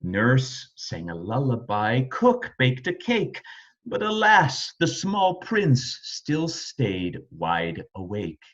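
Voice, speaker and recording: Male children's storyteller: slow, very lively intonation, rhythmic verse reading, slightly dull recording. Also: mid-range voice. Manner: rhythmic, animated reading of rhyme. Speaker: storybook reader. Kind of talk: expressive scripted children's storytelling.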